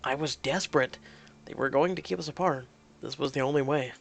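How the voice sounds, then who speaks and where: A narrator voicing a desperate, apologetic young man who pleads and defends himself haltingly, in an audio drama. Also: medium pitch, gravelly. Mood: pleading, desperate.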